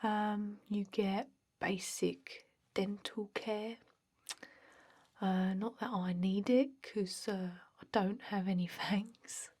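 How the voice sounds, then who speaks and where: A woman playing a bored energy vampire office clerk in an ASMR roleplay, soft, breathy and often whispering, with a halting deadpan delivery. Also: mid-range voice. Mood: bored, chatty. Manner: halting, monotone, hesitant, deadpan.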